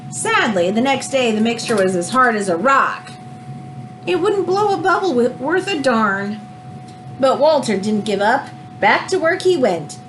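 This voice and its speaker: A woman reads a children's book aloud in an expressive, teacherly way, adding explanatory asides as she goes. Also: medium pitch, a little hiss or hum, low-quality recording.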